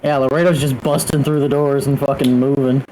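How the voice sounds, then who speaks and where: Casual male tabletop player, mid-pitched voice. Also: little pitch movement, brisk, mellow, rough recording. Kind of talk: casual chat, describing his character's actions. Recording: faint background noise.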